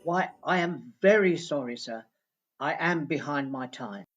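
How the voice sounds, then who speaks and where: A man playing a timid, apologetic clerk in a radio play, with a husky voice and a nervous, deferential way of speaking. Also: fairly high pitch, lively intonation, unhurried, a bit bright, highs cut off, faint music behind. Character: meek, apologetic clerk, timid male underling.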